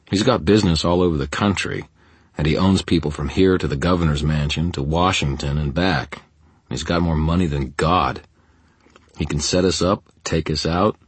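A man playing a gruff gang boss in an audiobook, with a growling sandpaper rasp and a measured, condescending manner. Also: extremely low pitch, no room sound. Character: gruff male gang boss, calm, weary, menacing.

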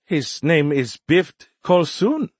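A man's voice: a little high, sing-song, takes it slowly, breathy edge, no echo, voice pushed up, heavily acted. A man voicing an earnest, formal young male alien liaison who is easily puzzled, in an audiobook. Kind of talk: audiobook character voice.

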